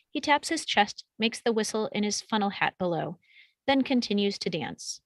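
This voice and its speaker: A woman's voice: mid-range voice, no echo. A woman reading out action and camera directions from a film script as scripted narration at a table read. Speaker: reader of stage directions.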